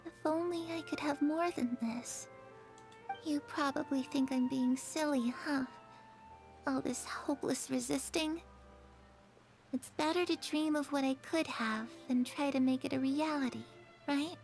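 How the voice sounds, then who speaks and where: An actress voicing a lonely, wistful young girl in a game cutscene, confiding softly and dreamily. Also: sits high, a little slow, faint music behind.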